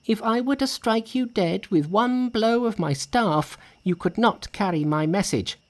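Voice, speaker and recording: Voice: medium pitch, sing-song, a little slow, creaky, pitched above the performer's own voice, heavily acted. A narrator of unclear sex, voicing a brash, boastful, scornful monkey king in a storybook tale. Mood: angry, boastful. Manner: scornful, challenging. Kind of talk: dramatised storybook reading.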